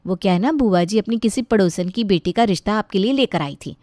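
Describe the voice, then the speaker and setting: A woman voicing a cheeky, chatty young bride in an animated family story, teasing and playful. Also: mid-range voice, a little fast, no room sound, clean studio sound, lightly acted. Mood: teasing, sly. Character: cheeky, chatty young bride who fusses over her in-laws.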